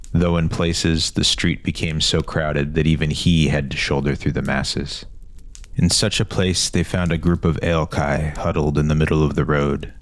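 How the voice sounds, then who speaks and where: Man, bottomless bass, scripted fantasy narration, dry recording. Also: no room sound.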